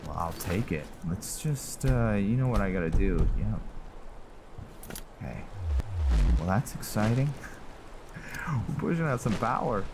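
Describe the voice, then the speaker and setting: A man giving casual commentary on his own video game playthrough, halting as he thinks aloud. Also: animated, vocal fry. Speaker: gaming video host narrating own playthrough. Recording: heavy background noise, rumbling game ambience.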